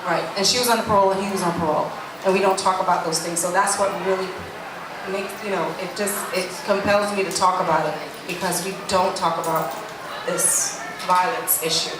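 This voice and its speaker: A woman's voice: fairly low pitch, steady tone, a little fast. A middle-aged woman from Jamaica, a guest speaking earnestly about her life, with many restarts. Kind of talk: candid conversational interview. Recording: echoey room from a distance, noisy.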